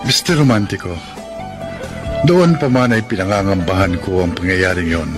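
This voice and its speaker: A man's voice: low voice, moderate pace, close to an everyday voice. Anguished Filipino man played by an actor over music. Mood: anguished, resolute. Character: anguished, resentful poor man confiding his heartbreak. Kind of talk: melodramatic Filipino radio drama monologue. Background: dramatic music.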